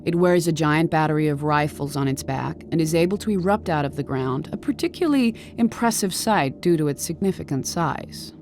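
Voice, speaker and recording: A woman voicing a calm female archivist who narrates monster files in a measured, clinical way. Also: low voice, slight background noise. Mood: detached. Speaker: game bestiary narrator. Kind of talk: scripted documentary narration.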